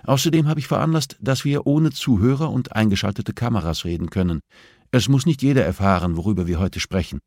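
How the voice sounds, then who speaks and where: A man's voice: fairly low pitch, animated, brisk, darkish tone, no room sound, clean studio sound. A man voicing a composed, firm female prison therapist who speaks politely and insistently, as audiobook dialogue. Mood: composed, assuring.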